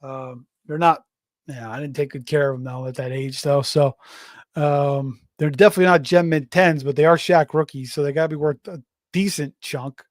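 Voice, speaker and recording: Man, middle-aged, slightly hoarse, rambling casual sports talk, fillers and restarts, dry close recording. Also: a little high, fairly even intonation, moderate pace, dry recording. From Florida. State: slightly hoarse, voice going raspy. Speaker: fantasy football show host.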